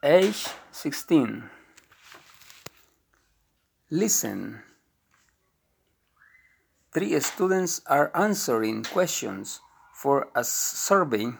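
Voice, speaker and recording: A man reading an English course book aloud, calling out words and items in a slow, deliberate way. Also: fairly high pitch, very animated, gravelly, slight background noise. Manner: slow, deliberate listing with rising and falling item calls. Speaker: textbook audio reader.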